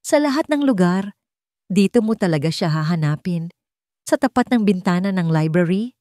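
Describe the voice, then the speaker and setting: A woman's voice: lowish voice, animated, warm tone, no room sound, clean studio sound, lightly acted. Woman voicing a cold, menacing rich schemer. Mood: suspicious, threatening. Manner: deliberate, threatening, sneering.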